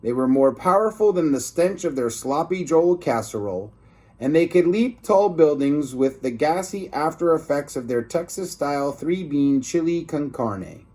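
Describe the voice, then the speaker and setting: A man's voice: on the high side, lively intonation, normal speed, warm tone. A man reading a children's book aloud expressively, like a teacher, with informal asides to his listeners.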